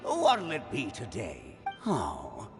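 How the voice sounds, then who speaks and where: Slow, sing-song teasing old-timer. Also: male voice, lowish voice, huge pitch swings, music in the background, heavily acted. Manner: drawling, theatrical, bantering. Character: teasing, jovial old male townsman. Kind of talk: game voice acting. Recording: long echoing reverb.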